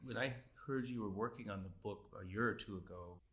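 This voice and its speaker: A man interviewing a guest, asking questions in a relaxed way. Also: on the low side, fast talker. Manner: brief listening sounds, relaxed questions. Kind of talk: casual interview chat.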